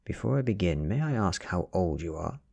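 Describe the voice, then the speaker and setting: A man's voice: low-pitched, sing-song, fairly quick, vocal fry, warm tone, husky, exaggerated voice. A British man playing a tired, nervous private detective in a story reading, businesslike and questioning. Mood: pressing.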